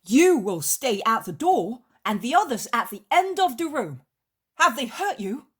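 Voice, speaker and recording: A woman's voice: fairly high pitch, sing-song, measured pace, husky, pitched above the performer's own voice, full character voice. A woman voicing a protective, exasperated male disciple in a storybook reading, urgent and commanding. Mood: exasperated, concerned. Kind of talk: dramatized devotional storybook reading.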